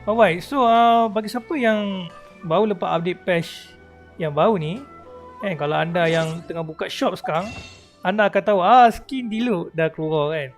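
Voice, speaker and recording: A man's voice: sits high, brisk. A man with a Malaysian accent chatting casually as a game streamer, joking and teasing, mixing in English. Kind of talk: casual livestream chat and commentary. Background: game music.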